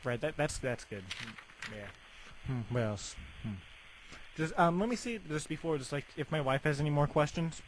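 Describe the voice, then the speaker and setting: A young man making a deadpan prank phone call in a rambling, hesitant, casual way full of filler words. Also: a little high, normal speed. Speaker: prank caller. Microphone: telephone. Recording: phone call with faint background noise.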